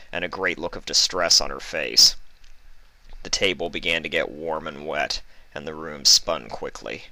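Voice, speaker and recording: Man, deep pitch, crisp forceful tone, story narrator, reading from a script. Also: no room sound, low-quality recording. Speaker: fan fiction reader.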